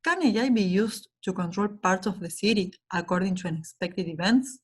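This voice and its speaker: A woman's voice: medium pitch, normal speed, slightly rough. A woman interviewer reading out a question evenly, sounding farther from the mic.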